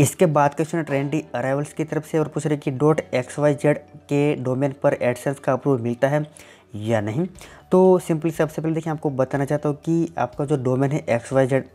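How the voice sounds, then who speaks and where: A man's voice: mid-range voice, mellow. Man answering viewer tech questions. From Gujarat, India. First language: Gujarati. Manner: plain explanatory, repeats "definitely" and "simply". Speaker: tech channel host.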